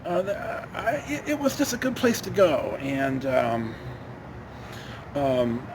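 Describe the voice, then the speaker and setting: A man, middle-aged or older, telling casual personal stories in a rambling, halting way, often breaking off and circling back. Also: on the high side, noisy background. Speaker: storyteller host.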